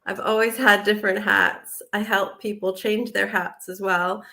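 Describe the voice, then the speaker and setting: An American woman in her forties joins an interview over a video call. She talks in an animated, chatty way. She laughs often and fills her sentences with likes and ums. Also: medium pitch, moderate pace. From United States. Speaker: guest. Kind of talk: casual interview chat.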